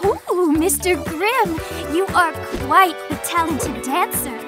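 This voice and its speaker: Very high, sweet, naive cartoon girl. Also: animated, takes it slowly, heavily acted. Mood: admiring. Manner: stilted, overly formal phrasing. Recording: loud music behind the voice.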